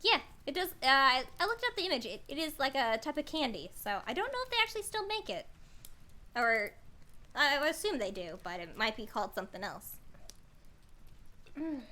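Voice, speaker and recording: A woman with a high, lively voice reads a children's story to a live stream. Her tone is bright and swings freely in pitch. She is chatty and playful, slipping into casual asides and gently poking fun at herself. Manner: chatty, playful, self-deprecating, mild exclamations.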